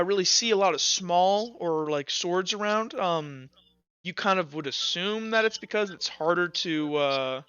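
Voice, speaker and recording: Bright-voiced man improvising as game master. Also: high voice, clean tone, no echo, lo-fi sound. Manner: halting, repetitive, casual phrasing. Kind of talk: improvised tabletop game narration.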